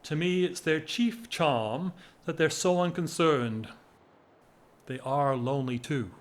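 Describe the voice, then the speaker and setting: A man acting as a lovelorn aristocrat in a period audio drama. His voice sits a little high for a man and moves very slowly. He is formal and restrained, with a weary, wry wistfulness. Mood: restrained, wistful. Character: lovelorn, weary upper-class English gentleman, restrained and wry. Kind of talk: stage-style period radio drama acting.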